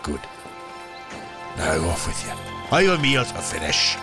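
Man playing a deep, gruffly kind fantasy official. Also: huge pitch swings, unhurried, music in the background, heavily acted. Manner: brusque, instructing. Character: gruffly kind working man helping a young newcomer. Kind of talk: fantasy audio drama acting.

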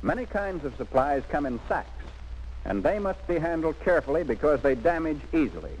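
A man's voice: fairly high pitch, sing-song, brisk, darkish tone, a little hiss or hum, poor audio. A man narrating a 1940s military instructional film in an emphatic, instructive scripted manner, on an old film soundtrack.

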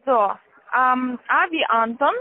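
A woman with a foreign accent, not a native German speaker, giving halting, insistent instructions as a call-centre caller. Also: high voice. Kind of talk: scripted phone instructions, spelling out slowly. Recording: tinny, narrow-band phone line.

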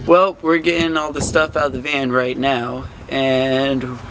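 A man's voice: mid-range voice, level tone, unhurried, over loud music, rough recording. A young man hosting a casual vlog, giving upbeat, joking narration into a handheld camera held close to his face. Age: young adult. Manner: upbeat, joking tour-guide talk. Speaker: vlog host. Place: van, parking lot, hotel.

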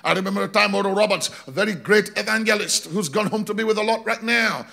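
A middle-aged man preaching on a large church stage into a handheld microphone, animated, slowing down for emphasis and shouting key points. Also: high voice, gravelly. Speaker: pastor. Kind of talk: sermon. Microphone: handheld mic.

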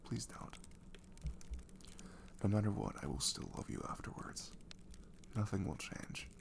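A man playing a gentle, caring boyfriend in an intimate ASMR roleplay, speaking softly and breathily, often half-whispering, with casual, hesitant reassurance. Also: on the low side, expressive, measured pace, vocal fry, background noise, exaggerated voice. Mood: loving, soothing. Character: caring, gentle young boyfriend comforting his girlfriend.

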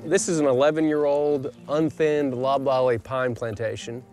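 A man's voice: medium pitch, fairly even intonation, normal speed. Male wildlife biologist on a forest walk, eager explainer, plain mid-range voice, faint music underneath. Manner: enthusiastic, explanatory. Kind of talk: explaining outdoors on a forest tour. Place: outdoors in a pine forest.

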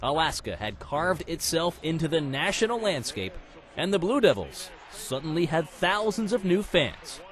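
A man's voice: on the high side, big pitch swings, moderate pace. A man, a TV sports reporter, reading a scripted news feature in a punchy, polished style.

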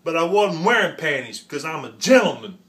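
A man's voice: fairly high pitch, sing-song, a little fast, fairly bright tone. A man impersonating an earnest, goofy American actor gushing dreamily about music.

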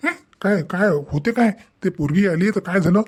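A man's voice: high-pitched, moderate pace, darkish tone, breathy edge. A man voicing an angry, scolding father in a cartoon family comedy, repeating phrases for emphasis in a rural Varhadi Marathi accent. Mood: accusing, threatening. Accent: Varhadi Marathi from Vidarbha, Maharashtra.

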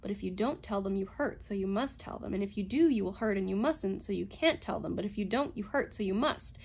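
A woman's voice: fairly high pitch, expressive, moderate pace, husky. A woman voicing a commanding female robot psychologist in an audiobook, insistent and methodical. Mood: relentless droning. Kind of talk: dramatized audiobook reading.

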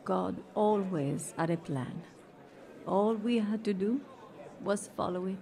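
An actress in a radio drama playing a gentle, faithful older mother, a widowed missionary who speaks tenderly and reflectively. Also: medium pitch, slow, gravelly. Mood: fond, reflective.

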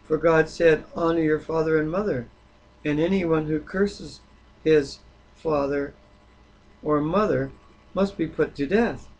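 A man with a rather high, husky voice reads and talks through scripture in an informal way. His delivery is halting, with the odd stumble over words. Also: fairly even intonation, highs cut off. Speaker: Bible reader. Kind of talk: Bible reading aloud with informal discussion.

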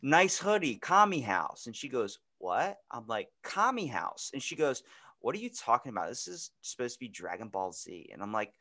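A man in his twenties hosts a casual podcast. His voice is bright and sits at an average pitch. He tells things in a slangy, animated way. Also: normal speed. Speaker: podcast host. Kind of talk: casual chat.

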